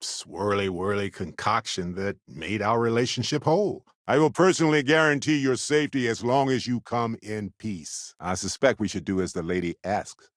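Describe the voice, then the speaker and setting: A man playing a genial, wry, curious middle-aged space explorer in a video game, speaking in a conversational, expressive way. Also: fairly low pitch, moderate pace, few pauses, no echo, studio quality, close to an everyday voice. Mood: reflective, musing. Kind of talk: video game character dialogue.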